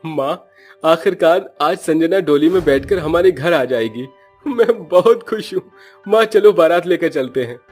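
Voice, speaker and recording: A man's voice: on the high side, lively intonation, unhurried, a little mellow, faint music behind, voice pushed up. A man voicing a selfish, hot-headed young son and bridegroom in a melodramatic, emphatic way for a cartoon story. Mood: excited, pleased.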